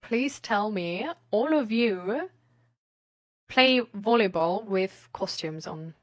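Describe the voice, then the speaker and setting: A woman's voice: medium pitch, animated, slow. A woman live streamer chatting casually with her viewers in a rambling, giggly way with hesitant phrasing. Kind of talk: casual chat with viewers, reading out their messages.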